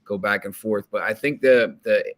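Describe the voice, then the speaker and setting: A man's voice: fairly low pitch, moderate pace. A man, chatting casually about soccer bets, rambling with restarts and fillers. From New Jersey, United States. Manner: rambling, repeats words, many fillers. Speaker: soccer betting guest. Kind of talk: casual betting chat.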